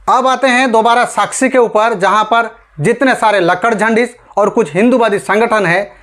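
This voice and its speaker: A man from India with a high-pitched voice delivers scripted news commentary for an online audience. He speaks emphatically, leaning on rhetorical questions and addressing listeners directly as friends. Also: fairly bright tone.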